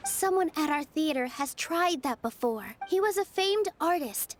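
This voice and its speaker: High-voiced, earnest young female dancer. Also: animated, a little slow, a little hiss or hum. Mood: assertive. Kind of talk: video game voice acting.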